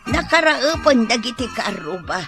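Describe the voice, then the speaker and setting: High, fretful older mother. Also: very animated, normal speed, over music. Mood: reproachful. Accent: Ilocano. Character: older mother, fretful and reproachful toward grown son. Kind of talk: radio comedy drama acting.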